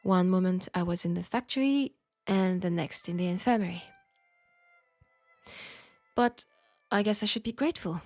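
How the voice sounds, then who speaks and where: A woman with a French accent playing a proud, wry young Parisian seamstress in a scripted audio roleplay, speaking in a measured, pointed way. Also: fairly low pitch, darkish tone, dry recording, soft background music. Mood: resigned, wry. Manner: measured, pointed, sardonic, with sighs.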